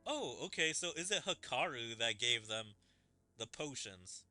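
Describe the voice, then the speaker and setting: A man's voice: mid-range voice, lively intonation, unhurried, bright, forceful tone. A man streaming a game, analytically puzzling aloud over the story and its wording as he plays. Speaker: gaming streamer. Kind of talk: let's play commentary, reading dialogue aloud. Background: video game music.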